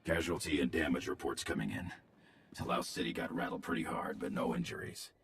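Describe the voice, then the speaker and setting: A stern, loyal mercenary veteran in a game cutscene. The voice is extremely low and heavy with fry, clipped like a field report, and set a little away from the mic. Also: male voice, expressive, fairly quick, a bit bright, distant mic, faint music behind, heavily acted. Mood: grim, businesslike. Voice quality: very gravelly. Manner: terse, clipped, military reporting. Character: stern, loyal male ex-mercenary security officer. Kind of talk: video game cutscene acting.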